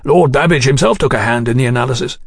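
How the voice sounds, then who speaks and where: A man's voice: medium pitch, fast, vocal fry, husky, dry recording, clean studio sound. A man voicing a keen, proud British engineer in an audiobook, earnest, technical and chummy. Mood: keen, explanatory. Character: keen, proud male British engineer explaining his machines.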